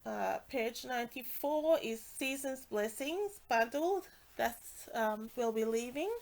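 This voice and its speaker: A woman's voice: a little high, animated, takes it slowly, gravelly. A woman with a congested, nasal voice, casually walking through craft products with many fillers and restarts. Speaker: stamping demonstrator.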